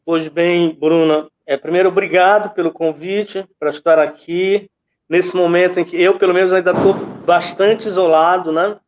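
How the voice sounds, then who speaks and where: A man's voice: high-pitched, steady tone, normal speed, highs cut off. A man with a Brazilian accent giving conversational commentary as a guest critic, reflective and often searching for words.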